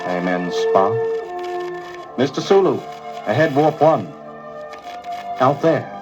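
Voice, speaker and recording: A man playing a composed, authoritative starship captain with deliberate delivery on a read-along record. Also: medium pitch, animated. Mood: awed, commanding. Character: Kirk, composed, commanding adult male starship captain. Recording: dull vintage read-along record with music.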